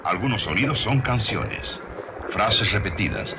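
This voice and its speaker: A man reading scripted documentary narration with measured, dramatic storytelling delivery, on an old film soundtrack. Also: on the low side, wildly swooping pitch, gravelly, noisy background.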